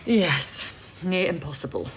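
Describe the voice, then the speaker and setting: A woman acting in an audio drama as a steady, encouraging, experienced wartime codebreaker who reassures and explains patiently. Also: low-pitched, measured pace, faint music behind. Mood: patient, explaining.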